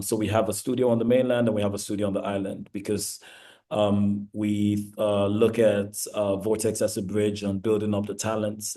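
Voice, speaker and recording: A man from eastern Nigeria with a Nigerian accent, giving a relaxed guest lecture over a video call with many pauses and ums. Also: on the low side. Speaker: guest speaker. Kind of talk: virtual lecture presentation.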